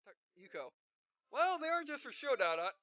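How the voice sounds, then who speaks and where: A woman hosting a let's play, reading game dialogue aloud and commenting in a halting way, often repeating words. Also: sits high, big pitch swings, dull audio. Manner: halting, repeats words, reads punctuation and speaker names aloud. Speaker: gaming video host. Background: game music.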